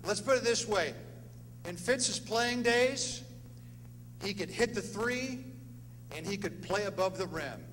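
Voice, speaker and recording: A man's voice: high-pitched, slow, long pauses, a bit bright. A middle-aged man giving an earnest, emphatic ceremony speech in short punchy phrases as a presenter. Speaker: presenter at an athletics hall of fame ceremony. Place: indoor ceremony hall with long reverb. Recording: echoey hall, noisy hum.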